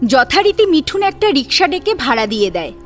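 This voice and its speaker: High, expressive female storyteller. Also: brisk. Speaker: story narrator. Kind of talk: expressive dramatic audiobook narration. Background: music.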